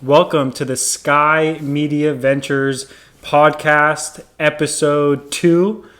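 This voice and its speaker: A man's voice: a little high, little pitch movement, slow, a bit bright. Chatty young man hosting a podcast. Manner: friendly, stammers and restarts, enthusiastic affirmations. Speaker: podcast host. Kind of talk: casual interview chat.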